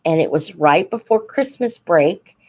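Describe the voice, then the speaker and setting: An American woman in her seventies reminiscing informally as host of a live stream, halting and reflective, pausing mid-sentence and voicing remembered dialogue. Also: fairly low pitch, expressive, a little mellow. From United States. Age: 70s.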